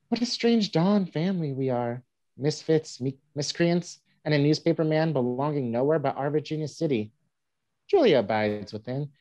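A man's voice: mid-range voice, mellow, close to an everyday voice. A young man reading the part of a wry frontier newspaperman, giving a florid monologue in a staged play reading. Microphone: video call.

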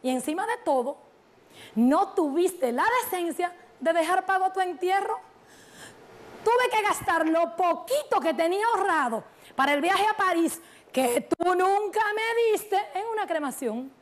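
High-pitched, indignant Dominican widow. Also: female voice, lively intonation, a little slow. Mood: resentful. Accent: Dominican Spanish. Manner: emphatic, exasperated, sardonic. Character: bitter, indignant middle-aged widow scolding her dead husband. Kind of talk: theatrical comic monologue.

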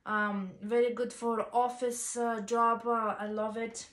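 A woman's voice: fairly high pitch, flat delivery, measured pace, a bit bright, roomy sound. A woman in her thirties from Bulgaria, with a Bulgarian accent, chatting casually in a home fashion vlog, full of ums and uhs. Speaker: fashion vlogger. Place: small living room at home. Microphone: camera's built-in mic, a few feet away.

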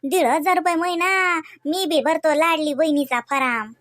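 A woman voicing gossipy, excitable village housewives in a comedy cartoon, exclaiming and teasing in rustic Varhadi Marathi. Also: high-pitched, normal speed. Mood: excited. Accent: Varhadi Marathi from Vidarbha. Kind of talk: colloquial comic cartoon dialogue.

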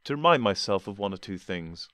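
A calm, dispassionate older man, as a male reader plays him, answering in cool, measured tones with a dry, teasing edge. His voice sits low, and the recording is close and dry. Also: no room sound.

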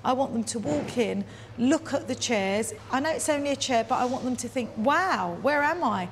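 A woman's voice: on the high side, animated, normal speed, a little hiss or hum. A middle-aged woman speaking emphatically and dramatically in a documentary interview. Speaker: hotel owner. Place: large bare room under renovation. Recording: prominent music under the speech.

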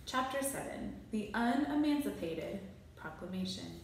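A woman's voice: medium pitch, animated, measured pace, creaky, a little hiss or hum. A woman in her thirties reading a children's storybook aloud with expression. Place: small bare room indoors. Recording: very echoey small bare room.

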